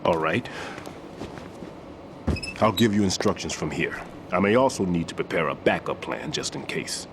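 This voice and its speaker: A man playing a methodical, somber veteran bomb disposal expert in a video game cutscene, explaining precisely and gravely. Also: low-pitched, animated, moderate pace, long pauses, background noise. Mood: instructive, serious. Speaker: demolition expert giving instructions.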